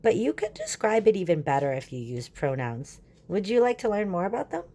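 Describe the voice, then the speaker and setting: A woman's voice: mid-range voice, very animated, fairly quick, warm tone, pitched above the performer's own voice. A woman voicing an eager, helpful boy space explorer in a children's storybook, enthusiastically explaining things.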